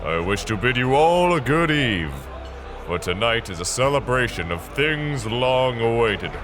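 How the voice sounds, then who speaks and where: A man's voice: medium pitch, sing-song, a little slow, pitched above the performer's own voice. A man voicing a proud, stern grandmaster giving a solemn, formal speech in a fantasy story. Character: proud, stern grandmaster addressing his troops. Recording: music behind the voice.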